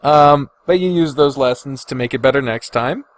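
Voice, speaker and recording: A man's voice: mid-range voice, normal speed, no echo. A man hosting a podcast alone, talking casually and thinking aloud with informal, loose phrasing. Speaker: podcast host. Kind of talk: casual solo ranking commentary.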